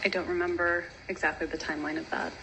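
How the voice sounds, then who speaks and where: A woman's voice: lowish voice, little pitch movement, fast, creaky. A woman giving testimony as a witness in a courtroom. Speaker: witness on the stand. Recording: noisy, quiet courtroom recording.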